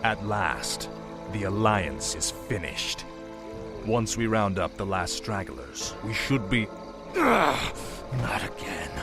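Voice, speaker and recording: A man's voice: on the low side, big pitch swings, slow pace, exaggerated voice. An actor playing a cold, arrogant young undead warrior king in a video game cutscene, commanding and menacing in formal speech. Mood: grim, resolute, then pained. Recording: music behind the voice.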